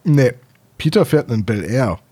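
A man's voice: mid-range voice, expressive, moderate pace, warm tone, breathy edge. A man in his thirties from Germany chatting casually and enthusiastically as a podcast co-host, with a teasing streak. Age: 30s.

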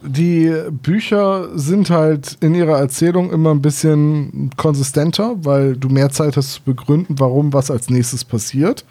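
A man co-hosts a casual podcast. His voice sits a little high for a man, with a very mellow, rounded tone. He talks conversationally, as in a relaxed retelling. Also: measured pace, few pauses. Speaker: podcast co-host.

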